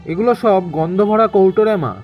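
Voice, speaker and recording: Guy doing a kindly old grandpa in an audio story, tender n patient, kinda high for a man, music under him. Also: normal speed, highs cut off. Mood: gentle, wistful. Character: gentle old grandfather explaining a lost past.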